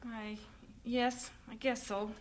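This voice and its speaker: An actress playing a young missionary wife in a radio drama, earnest and expressive. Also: female voice, on the high side, takes it slowly. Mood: upset. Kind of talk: 1950s-style radio drama acting.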